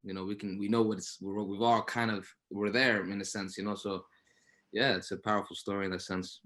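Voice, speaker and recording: A man's voice: lowish voice, steady tone, a little fast, a bit bright. A man, an actor, chatting casually in an interview, often repeating words and adding little filler phrases as he goes. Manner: repetitive, peppered with "you know".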